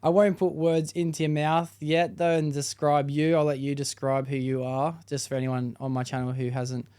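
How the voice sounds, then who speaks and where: A man's voice: fairly high pitch, flat delivery, moderate pace, a little mellow, clear, resonant tone. A man hosting a podcast, chatting in a laid-back, friendly way. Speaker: podcast host. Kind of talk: casual interview chat.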